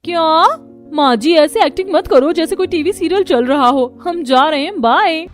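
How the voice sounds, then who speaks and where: A woman's voice: extremely high pitch, expressive, moderate pace, soft background music. A woman voicing a rich, bold and crafty young sister-in-law in a cartoon, with an assertive, brash manner. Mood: defiant.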